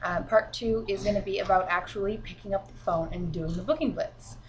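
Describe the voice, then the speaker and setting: Woman, team leader, mild cold and sniffles, relaxed encouraging chat, mid-pitched. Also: fairly quick, a little hiss or hum, low-quality recording. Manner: chatty and encouraging, frequent fillers. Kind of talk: casual instructional vlog. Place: at home, children beside her.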